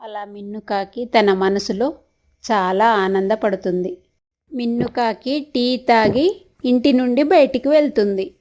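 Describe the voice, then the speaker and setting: A woman's voice: fairly high pitch, measured pace, a little mellow. A woman narrator reading a scripted children's story.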